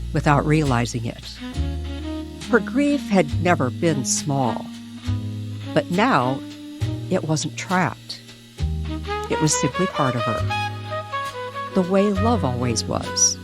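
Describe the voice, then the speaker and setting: A woman reading a tender, eerie story as a dramatic narrator, deliberate and pausing between short phrases. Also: deep voice. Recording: music under the voice.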